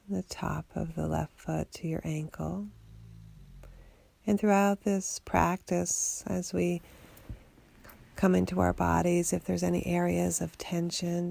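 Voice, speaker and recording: A woman guiding a body scan meditation for sleep in a soothing, unhurried, gently instructing way. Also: fairly low pitch, vocal fry, dry recording. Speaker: meditation guide.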